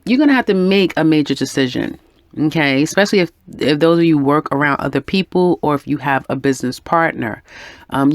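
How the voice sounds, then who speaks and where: A woman's voice: deep voice, no echo. A woman with a head cold, her voice going in and out, giving a chatty, encouraging weekly tarot reading. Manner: chatty and encouraging, with frequent ums and little laughs. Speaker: tarot reader.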